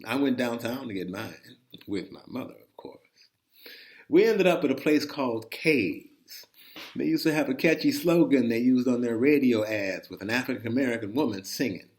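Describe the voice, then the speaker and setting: Man telling a folksy, animated personal story. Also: medium pitch, moderate pace. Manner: animated, folksy, with asides to the listener. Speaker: reader of a personal chronicle. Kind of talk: conversational first-person storytelling.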